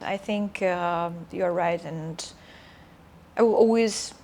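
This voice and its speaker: A woman's voice: fairly low pitch, slow pace, vocal fry, a little mellow. A woman from Romania, a native Romanian speaker and opera soprano, talking reflectively in a casual interview with many fillers and restarts.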